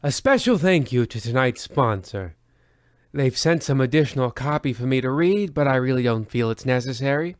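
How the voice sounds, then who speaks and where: A man playing a pompous, deadpan horror-anthology host, narrating in a formal old-time radio style. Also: mid-range voice, moderate pace, no room sound, clean studio sound, natural voice. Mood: formal, dry.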